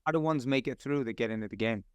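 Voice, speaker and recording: A man's voice: medium pitch, fast talker, smooth, no room sound. A man in a casual podcast conversation, a guest who phrases things hesitantly and appreciatively as he answers and asks questions.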